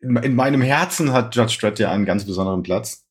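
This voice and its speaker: Husky man with a slight cold. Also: mid-range voice, fairly quick. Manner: hesitant, searching for words. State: slight cold, scratchy throat. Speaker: podcast co-host. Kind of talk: casual chat.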